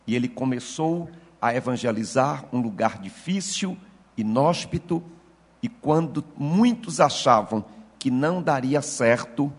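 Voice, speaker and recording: A man in his fifties, a pastor, giving a formal and ceremonious address at a church session that rises into fervent preaching, with a husky voice. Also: mid-range voice, lively intonation, a little slow, in a large hall. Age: 50s.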